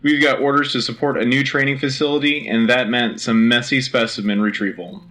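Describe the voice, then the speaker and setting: A man's voice: medium pitch, fairly even intonation, brisk, a bit bright, natural voice. A man playing a frontline war correspondent hosting a scripted wartime radio news broadcast. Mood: focused, serious. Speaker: radio host.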